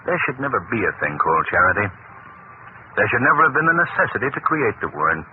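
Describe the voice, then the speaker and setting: An actor playing an assured, benevolent gentleman hero, earnest and courteous, in 1940s radio drama style. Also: medium pitch, big pitch swings, fast talker, lightly acted. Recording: tinny, noisy old radio recording.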